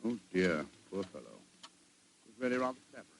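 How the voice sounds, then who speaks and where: English narrator, vintage radio mystery. Lowish, expressive, reflective, slight background noise. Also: male voice, fairly quick, gravelly, heavily acted, rough recording. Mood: wry, reflective. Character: measured English gentleman narrator, recounting a mystery to a friend. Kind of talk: old-time radio storytelling. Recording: old radio recording with faint noise.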